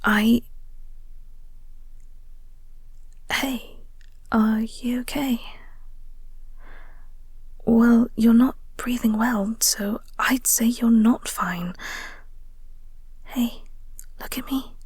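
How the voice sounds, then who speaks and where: A woman playing a flirty, caring coworker in an intimate audio roleplay, speaking softly and gently in a breathy, reassuring way. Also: on the high side, steady tone, extremely slow, pauses often, no echo, heavily acted. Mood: concerned. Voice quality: soft, breathy, whispery.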